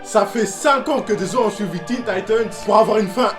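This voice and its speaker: A young man giving casual, slangy commentary on cartoons, animated and emphatic. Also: high voice, moderate pace, over music. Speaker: video essayist.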